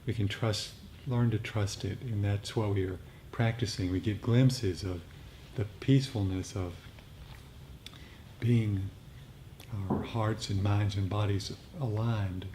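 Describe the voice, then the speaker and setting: A man leading a meditation group, speaking off the cuff in a reflective, halting way with repeated phrases. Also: lowish voice, fairly even intonation. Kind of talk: unscripted reflective talk on meditation practice. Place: meditation group meeting room. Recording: noisy live room recording.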